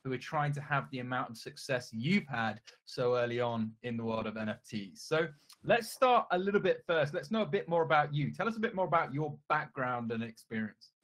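A man's voice: medium pitch, expressive, brisk, a bit bright. A man hosting an interview podcast in an upbeat, polite and organised way. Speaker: podcast host.

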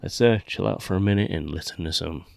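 Very deep, gravelly man. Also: quick pace, no room sound, studio quality. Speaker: show co-host. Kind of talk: casual podcast chat.

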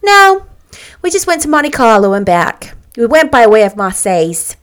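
A woman's voice: on the high side, big pitch swings, moderate pace, clear, resonant tone, voice pushed up. A woman voicing a gossipy, worldly young woman in a storybook, confiding and emphatic as she chats. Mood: chatty, lively.

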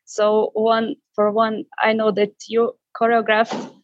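A woman's voice: fairly high pitch, monotone, slow. A woman from Slovenia with a Slovenian accent, hesitantly and admiringly asking a question. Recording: dull, muffled call audio.

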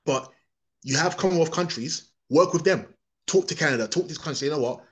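A man's voice: a little high, fast. A man from England, giving a rambling, opinionated monologue on a podcast, often restarting and repeating his words.

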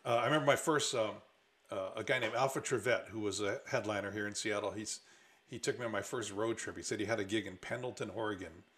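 A man's voice: lowish voice, fast, fairly bright tone. A man with an American accent who grew up in Hawaii, telling rambling anecdotes in casual comedic conversation as a stand-up comedian. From Hawaii, United States.

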